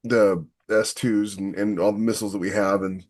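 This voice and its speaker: Male co-host, somewhat low-voiced, conversational, opinionated, holding forth in a panel chat. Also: level tone, normal speed, over a noisy crowd. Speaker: podcast co-host. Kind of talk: casual opinionated discussion.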